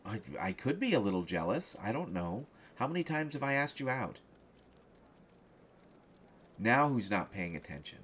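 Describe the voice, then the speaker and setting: A man playing a flustered, distracted reporter in an audio roleplay, halting and stammering, jealous and defensive. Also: mid-range voice, big pitch swings, fairly bright tone, slight background noise. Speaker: voice actor in a solo roleplay audio. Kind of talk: one-sided conversational audio roleplay.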